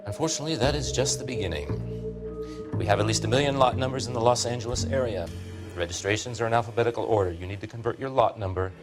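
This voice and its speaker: A man's voice: medium pitch, fast, lightly acted. An actor playing a patient, methodical male records clerk in a video game, formal and matter-of-fact. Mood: helpful, procedural. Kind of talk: video game drama acting. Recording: music under the voice.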